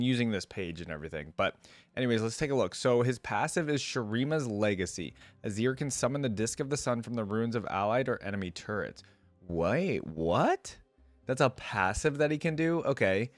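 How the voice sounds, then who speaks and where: A man's voice: lowish voice, no room sound. A man giving casual, off-the-cuff reaction commentary as a host, musing aloud as he goes.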